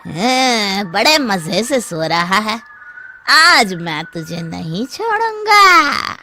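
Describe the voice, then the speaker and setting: A woman voicing a vengeful, gloating male thief who poses as a ghost in a children's cartoon story, with menacing, drawn-out delivery. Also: medium pitch, very animated, sharp tone, a little hiss or hum, heavily acted. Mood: scheming.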